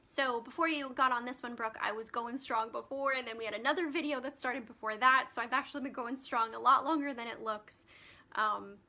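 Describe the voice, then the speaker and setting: A woman's voice: on the high side, steady tone, fairly quick, bright, forceful tone. A woman hosting a live stream as a spiritual healer, chatting casually with many ums and reading out card meanings, her voice turning scratchy. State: voice getting scratchy.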